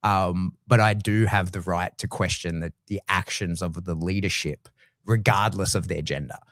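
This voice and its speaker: Man, Australian accent, middle-aged, blunt, casual, low-pitched, dry sound. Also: moderate pace, dry recording. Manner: blunt, swears freely, animated pitch swings. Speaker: guest dog trainer. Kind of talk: casual conversation.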